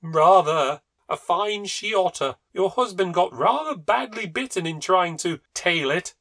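A man's voice: high voice, expressive, a little slow, fairly bright tone, voice pushed up, full character voice. A man voicing a chatty upper-class neighbour woman who talks in a breezy, gushing way.

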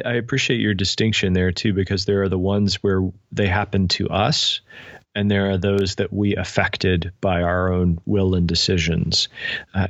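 A man speaking as an interview guest in a thoughtful, measured, explanatory way. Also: low voice, a bit bright, no room sound, muffled recording. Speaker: podcast guest, spiritual director and author. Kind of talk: reflective interview conversation.

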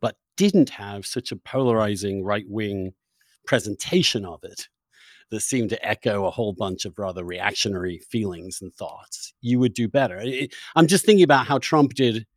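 A man's voice: lowish voice, moderate pace, dry recording. A man interviewing in a conversational, thoughtful way, searching for his words and rephrasing as he goes. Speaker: interviewer, podcast host.

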